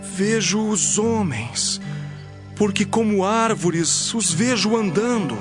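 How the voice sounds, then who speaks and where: A wondering blind man in an audio Bible drama , acted by a man. He speaks slowly in a high male voice, with music clearly behind him. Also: animated. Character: blind man regaining sight, slow and wondering.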